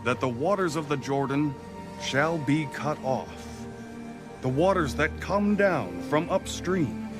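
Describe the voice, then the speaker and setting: A man playing a solemn, commanding leader of his people in a dramatized Bible, declaiming deliberately. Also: on the high side, expressive, over music. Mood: solemn proclaiming. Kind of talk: dramatized audio Bible acting.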